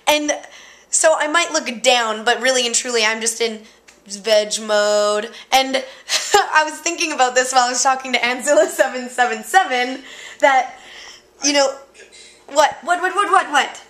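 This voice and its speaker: A young woman chatting casually and loudly in a vlog, excitable and joking. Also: high-pitched, moderate pace. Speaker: vlogger.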